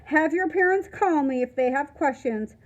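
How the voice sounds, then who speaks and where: A woman reading the part of an apologetic but firm male school headmaster in a storybook, formal and brisk. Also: high-pitched, dull audio, lightly acted. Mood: formal, regretful.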